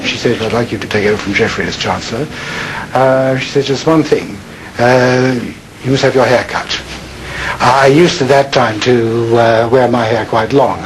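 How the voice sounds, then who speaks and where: A man reminiscing slowly and understatedly, with hesitations. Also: mid-range voice, fairly even intonation, slight background noise, poor audio. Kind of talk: reminiscing interview.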